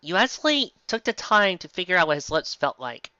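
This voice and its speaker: A man giving a sarcastic, theatrical dramatic reading of fan fiction and breaking in with excitable commentary. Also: sits high, fairly quick, smooth, no echo, dull audio.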